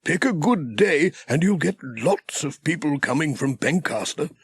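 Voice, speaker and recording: A man's voice: on the high side, animated, unhurried, slightly rough, dry recording, pitched above the performer's own voice, exaggerated voice. A pious, genial vicar from a children's storybook, as a male reader puts him on. He speaks in a raised, sing-song preacher's voice, swooping and encouraging, in a dry recording.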